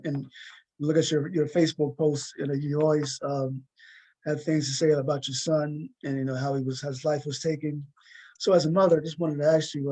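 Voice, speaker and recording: A man with a husky voice hosting a conversational interview, supportive and a little halting as he asks. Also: fairly high pitch, dark tone, low-quality recording. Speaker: talk show host.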